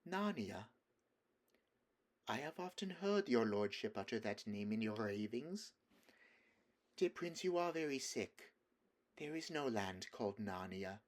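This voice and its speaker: A man voicing a soft-spoken, coaxing witch queen in a storybook reading, in a sweet, quiet, half-mocking way. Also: on the low side, big pitch swings, measured pace, long pauses. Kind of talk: audiobook character voice.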